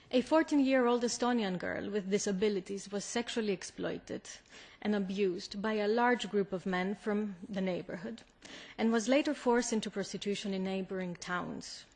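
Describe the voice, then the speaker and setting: A woman official, a native Greek speaker with a Greek accent, delivering a formal prepared speech in a measured, deliberate manner. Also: mid-range voice. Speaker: European Commission official, conference speaker.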